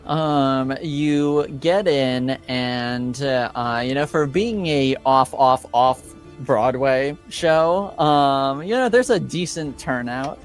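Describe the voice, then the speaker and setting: A man's voice: mid-range voice, takes it slowly, faint music behind. A man describing a scene in a tabletop game, hesitant with many fillers.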